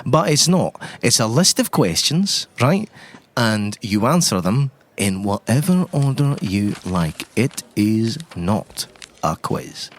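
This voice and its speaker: A man's voice: lowish voice, very animated, slow pace, full character voice. A man playing a flustered, lovelorn puzzle maker turned estate agent in improvised roleplay, stammering, effusive and self-conscious. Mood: defensive. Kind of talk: improvised tabletop roleplay acting.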